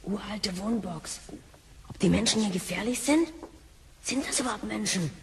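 An actress playing a brash, impatient, slangy youngster in a science fiction radio play, cheeky and whiny. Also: female voice, medium pitch, moderate pace, noisy background, lightly acted. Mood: scornful, alarmed.

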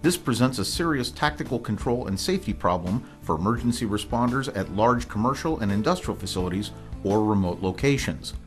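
An American man reading scripted promotional narration in a formal, measured, informational manner. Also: lowish voice, animated. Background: music bed.

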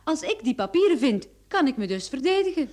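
A woman playing an earnest, quick-witted young sleuth in an old Dutch radio drama, speaking with dramatic expression. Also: high-pitched, sing-song, a little mellow. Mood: keen, deducing.

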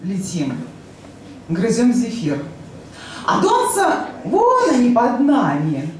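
Female stage poet, thirties, unhurried and playful, highish voice, distant echoing club recording. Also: huge pitch swings, darkish tone. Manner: theatrical, playful. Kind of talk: expressive live poetry recital. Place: rock club stage, indoors. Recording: very echoey club, noisy, distant pickup.

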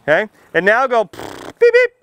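A man's voice: very high-pitched, wildly swooping pitch, unhurried, background noise. A man speaking outdoors as a firefighter presenting to children, in simple, playful language with frequent questions and check-ins. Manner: asks questions, checks in often with "okay" and "right"; playful teasing. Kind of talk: simple interactive safety talk for kids. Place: outdoors under a tent canopy beside a building, small seated audience.

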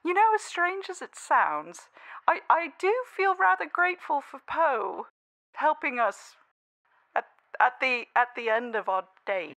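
Woman acting a high, excitable young cartoon scavenger. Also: dry recording, full character voice, low-quality recording. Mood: awkward.

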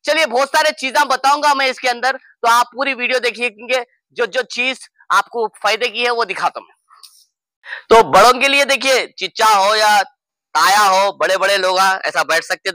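Hyderabadi man in his thirties, hosting a promo walkthrough, high and very bright voice, lively and joking, dry close sound. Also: no room sound, low-quality recording. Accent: Hyderabadi Hindi-Urdu. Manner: lively and joking, often calling out to viewers. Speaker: host showing a farm guest house. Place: outdoor garden and indoor hall.

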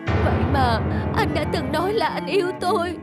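A woman's voice: very high-pitched, animated, moderate pace, over loud music. A woman voicing a devoted, long-suffering single mother in a melodramatic cartoon. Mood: hurt, angry.

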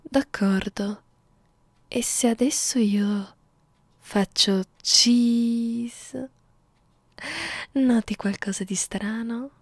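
A woman playing a young, haughty, predatory female vampire in an ASMR roleplay, moving between whispers and soft speech in a condescending, teasing way. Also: fairly high pitch, creaky, no room sound, heavily acted. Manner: slow, teasing, condescending, menacing. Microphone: close ASMR microphone.

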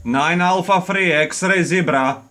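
A man's voice: sits high, slow, crowd noise. A man with a Croatian accent, calling as an amateur radio operator in a contest, spelling with the phonetic alphabet. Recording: noisy room with radio static. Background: radio receiver noise and clicks.

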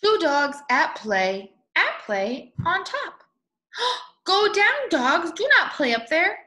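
A woman in her twenties reading a picture book aloud to young children in an expressive way, with drawn-out words. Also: very high voice. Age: 20s. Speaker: storybook reader. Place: small room at home, indoors. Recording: echoey room.

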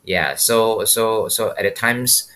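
A Malaysian man in his fifties with a very deep voice, answering questions as a podcast guest. He speaks haltingly, circling back over words as he thinks. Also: pushed and bright. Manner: halting, repeats words while searching. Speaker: guest, wildlife biologist. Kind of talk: podcast interview, personal story.

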